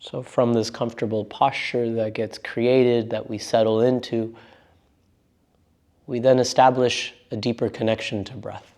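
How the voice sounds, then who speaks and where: A man guiding a meditation as a teacher, speaking in a gentle, unforced and reassuring manner. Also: mid-range voice, little pitch movement, a little slow. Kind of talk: guided meditation instruction.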